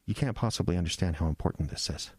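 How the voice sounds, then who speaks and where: A man acting an obsessed, evasive husband in an audio drama, speaking in a halting, distracted, earnest way. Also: low-pitched, no echo. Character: obsessed, evasive, frazzled husband on a secret mission.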